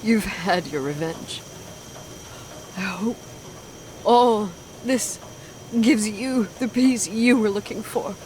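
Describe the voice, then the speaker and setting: An actress playing a distraught grown woman haunted by a repressed memory, speaking in a hushed, halting, anguished way in a dramatic video game. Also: medium pitch, lightly acted. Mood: resigned, hurt. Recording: clearly noisy ambience behind the voice.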